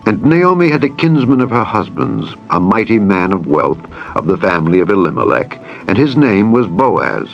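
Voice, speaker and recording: A deep-voiced man reads scripture as an audiobook narrator, solemn and measured. The sound is dull and lo-fi, with faint music behind him. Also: big pitch swings. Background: background music.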